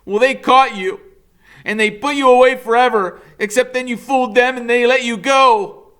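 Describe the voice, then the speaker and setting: A man's voice: extremely high pitch, moderate pace, bright, forceful tone, clear, resonant tone, voice pushed up. A man voicing a frightened but defiant young boy in an audiobook, speaking urgently and insistently. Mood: defiant, accusing. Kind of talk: dramatic audiobook character reading.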